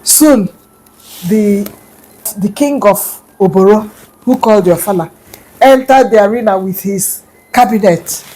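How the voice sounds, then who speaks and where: Animated woman telling a folktale. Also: mid-range voice, a little slow, long pauses, poor audio. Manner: lively, animated telling with sound effects. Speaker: storyteller. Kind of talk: oral folktale narration.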